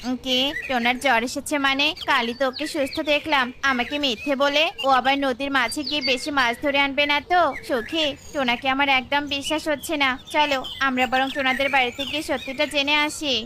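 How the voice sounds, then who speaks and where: A woman's voice: sits high, fairly even intonation, fairly quick, noisy background, natural voice. A woman voicing a scheming, distrustful and greedy male pigeon in a children's cartoon. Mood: suspicious. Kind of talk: animated children's cartoon dialogue.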